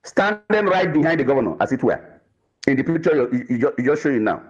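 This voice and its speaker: A man with a Nigerian accent giving political commentary as a panel guest, emphatic and deliberate, repeating phrases for weight, on muffled audio. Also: medium pitch, big pitch swings, breathy edge.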